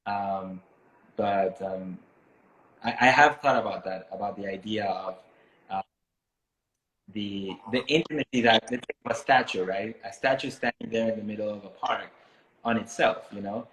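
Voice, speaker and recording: A man from Miami talking casually and reflectively as an interviewed artist, thoughtful and halting as he searches for words. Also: lowish voice, a bit bright. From Miami, United States, born in Ecuador. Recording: echoey room sound.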